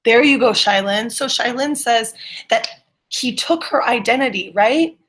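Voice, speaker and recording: A woman's voice: on the high side, measured pace, fairly bright tone. A woman teacher leading an interactive online class, encouraging students and checking their understanding with questions. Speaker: middle school English teacher.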